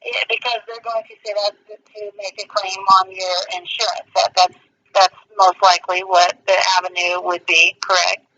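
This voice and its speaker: A man's voice: high voice, level tone, normal speed, bright, forceful tone. A man speaking as a shop representative on a phone call, explaining policy in a defensive, argumentative way. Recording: lo-fi phone line.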